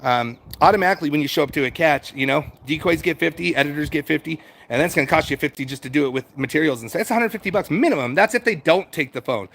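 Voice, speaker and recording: A middle-aged American man with a fairly high voice talks off the cuff as a livestream host. He rambles and circles back on his points in a conversational, unscripted way, as if answering a chat. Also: quick pace, few pauses, a bit bright. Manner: rambling, repetitive, conversational, swears casually. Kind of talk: casual unscripted chat, reading out and answering comments.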